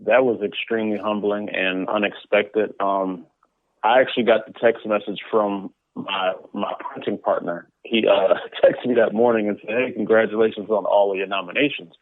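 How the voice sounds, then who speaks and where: A man's voice: fairly low pitch, fairly even intonation, moderate pace, no echo. A man speaking casually as a guest in an interview, in a conversational way. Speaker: interview guest, comic book creator. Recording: dry, tinny phone-like line.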